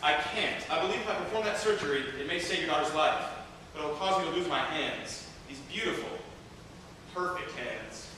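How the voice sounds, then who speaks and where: A man's voice: on the high side, lively intonation, normal speed, pushed and bright, far from the mic. A man playing a quirky, vain surgeon in a theatrical way in an amateur comic stage play. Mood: self-pitying. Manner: theatrical, exclamatory. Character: quirky, vain budget surgeon, grandly bemused. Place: indoor room, live performance. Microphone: distant camera. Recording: echoey hall from afar, noisy.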